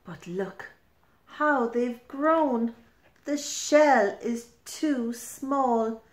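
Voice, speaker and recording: A middle-aged woman reading a children's picture book aloud, expressive, deliberate and emphatic, in a roomy-sounding home. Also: fairly high pitch. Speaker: storyteller. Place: small furnished room at home. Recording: roomy indoor echo.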